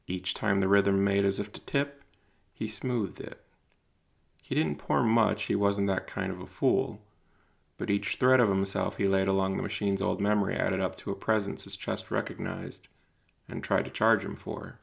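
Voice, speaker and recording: A man narrating scripted dramatic fiction in a solemn, deliberate storytelling manner. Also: sits low, fairly even intonation, creaky.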